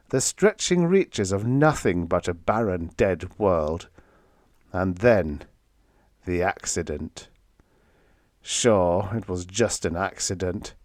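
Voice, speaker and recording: A man reading scripted story narration for an audiobook in an expressive, dramatic manner. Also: lowish voice, no room sound, studio quality.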